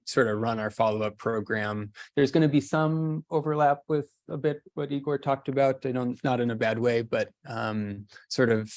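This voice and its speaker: A man with a mid-pitched voice hosts an academic session in a casual, improvised style. His phrasing is loose and rambling, and the sound is dry with little room in it. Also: dry recording. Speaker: summer school host introducing a speaker. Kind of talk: casual, off-the-cuff introduction.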